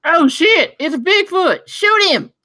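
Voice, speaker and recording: A woman playing a dim, excitable male backwoods hunter with folksy, slangy speech. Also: very high-pitched, takes it slowly, no echo, exaggerated voice. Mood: panicked.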